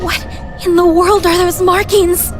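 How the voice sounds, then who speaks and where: High-voiced earnest young heroine. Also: female voice, flat delivery, a little slow. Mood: alarmed. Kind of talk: English-dub video game drama acting. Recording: dramatic game music behind.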